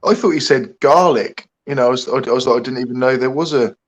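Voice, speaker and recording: A man's voice: mid-range voice, quick pace. A man co-hosting a livestream, joking and laughing often in casual chat. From England.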